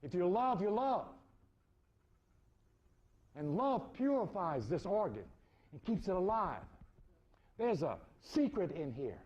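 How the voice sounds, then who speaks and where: A man lectures on spiritual matters in a fairly high, very animated voice. His phrasing is preacherly and deliberate, and the recording is dull and lo-fi. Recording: dull, muffled lo-fi audio.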